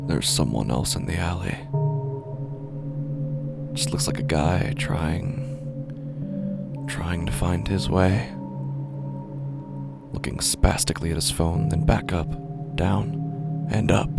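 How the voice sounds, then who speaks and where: A male actor plays a sinister, self-pitying street musician narrating a horror podcast tale. His voice is very low and full of fry, sinking breathily toward a whisper. He speaks at a crawl, brooding and halting, with menace in the pauses. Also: exaggerated voice. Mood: watchful, ominous. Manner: slow, halting, brooding delivery with drawn-out pauses. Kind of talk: first-person horror audio drama monologue. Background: music under the voice.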